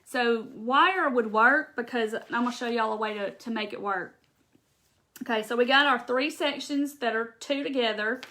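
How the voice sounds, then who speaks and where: Southern woman, live craft host; crisp bright tone, chatty and rambling, talks right to viewers. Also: a little high, moderate pace. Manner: chatty, talks straight to viewers and answers comments; rambling with restarts and laughs.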